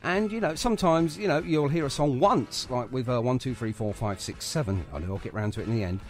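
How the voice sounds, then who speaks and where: A man's voice: mid-range voice, quick pace. A man hosting a radio show in a chatty, effusive interview style. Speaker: radio host.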